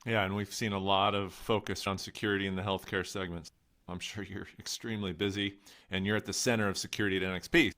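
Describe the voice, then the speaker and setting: A man's voice: sits low, little pitch movement, fast, fairly bright tone. A man co-hosting a tech podcast, upbeat and friendly as he introduces and questions a guest. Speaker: podcast co-host.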